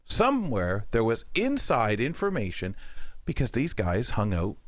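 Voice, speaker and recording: A man's voice: medium pitch, huge pitch swings, a little slow, no echo. Man hosting a talk show, dry sound. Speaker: radio show host. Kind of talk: casual radio talk show chat.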